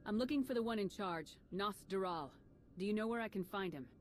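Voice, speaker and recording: A woman's voice: mid-range voice, animated, brisk, a little hiss or hum, close to an everyday voice. A woman playing a steadfast military commander in a video game, direct and assured in her delivery. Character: confident, steadfast female military commander hero. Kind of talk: video game cutscene acting.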